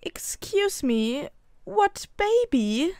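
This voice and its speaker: A woman's voice: very high-pitched, very animated, drawn-out words, a little mellow, no echo, clean studio sound, full character voice. A woman playing a proper, guarded young wife in an audio drama, speaking formally. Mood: indignant.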